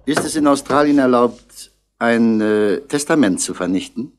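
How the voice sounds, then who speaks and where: Man playing police inspector, mid-pitched, dry, measured, asks questions, old radio crime drama. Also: animated, close to an everyday voice. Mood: probing. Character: calm, probing, wry male police commissioner. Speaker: actor in a radio play. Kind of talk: 1960s German radio play acting.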